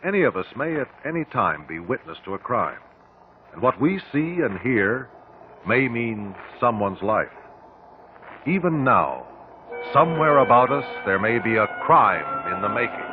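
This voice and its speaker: An actor playing a calm, precise, courteous legal expert with an American accent in a 1950s radio drama. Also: male voice, medium pitch. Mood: grave. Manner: precise, measured, explanatory. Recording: tinny old radio recording, faint noise.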